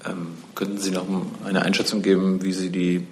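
Deep-voiced journalist asking questions. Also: male voice, completely flat delivery, moderate pace, a little hiss or hum. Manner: informal, plain phrasing. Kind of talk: press conference question.

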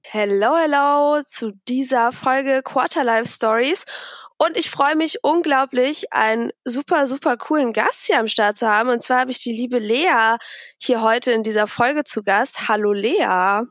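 Woman podcast host w/ a cold, kinda nasal, bit higher voice, chatty, lots of ums. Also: measured pace, fairly bright tone, no echo, studio quality. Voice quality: slightly nasal. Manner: chatty, many fillers, enthusiastic. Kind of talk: casual podcast interview.

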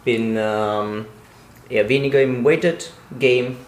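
A man's voice: medium pitch, steady tone, takes it slowly. A man in his twenties from Italy, chatting casually as a podcast co-host. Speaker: podcast co-host, calisthenics coach. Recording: roomy echo, faint background noise.

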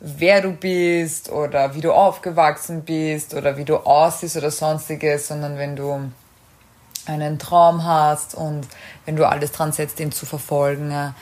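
A woman's voice: sits low, little pitch movement, unhurried, a little mellow. A woman with an Austrian German accent chatting casually as a podcast co-host, often searching for words and rephrasing mid-sentence. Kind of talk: casual unscripted chat about films and series.

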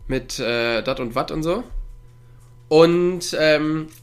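A man's voice: on the high side, slow pace, smooth. Male co-host, casual, chuckling, rambling with fillers, home call. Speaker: podcast co-host. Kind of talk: casual chat. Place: at home, with a dog nearby.

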